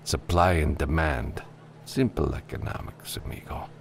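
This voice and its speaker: A man reads as a gruff, wry freelancer in a space adventure audiobook. The voice is very low and gravelly. He keeps it casual and dry, never rattled. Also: unhurried, slight background noise. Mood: cool, probing. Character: gruff, level-headed freelance spaceship pilot, cool and wry.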